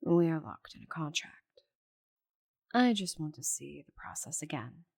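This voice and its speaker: A haughty giant female dragon, acted by a woman for an ASMR roleplay, speaks in a low, breathy voice. She is stubborn and possessive, guarding her secrets and often dropping into a whisper. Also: sing-song, moderate pace, a little mellow, full character voice. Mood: grave, puzzled. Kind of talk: intimate ASMR roleplay acting.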